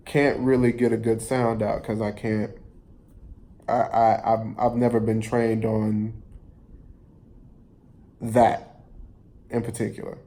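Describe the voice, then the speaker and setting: A man tells a personal story in a casual, conversational way. His voice is relaxed and mellow and his delivery is unhurried. He rambles a little, stopping to rephrase and repeat himself as he goes. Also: fairly low pitch. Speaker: storyteller vlogger.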